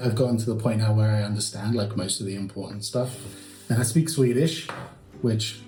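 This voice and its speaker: A man and native English speaker chatting casually, relaxed and self-deprecating, thinking aloud as he talks. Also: lowish voice, brisk, roomy sound, soft background music. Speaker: guest. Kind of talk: casual conversation.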